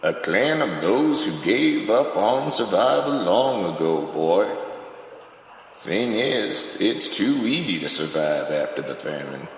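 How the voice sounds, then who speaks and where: Man acting weary post-famine father, slow delivery, folksy grave phrasing, long hall reverb, audio drama. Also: on the low side, very animated, heavily acted. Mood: grave, resigned. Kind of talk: surreal audio drama dialogue. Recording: very echoey reverberant hall, faint noise.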